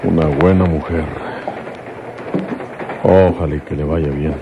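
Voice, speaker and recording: Deep-voiced protective fellow, noisy recording. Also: male voice, fairly even intonation, unhurried, muffled recording. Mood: calm, kindly. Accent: Mexican. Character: protective man disguised as an old father. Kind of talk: Mexican radio serial acting. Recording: noisy old radio drama recording.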